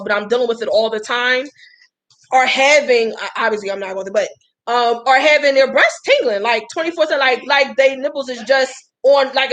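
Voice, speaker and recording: Host, woman, 30s: chatty and folksy, higher-pitched voice, talks straight to her viewers. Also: fairly bright tone. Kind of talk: casual live-stream talk.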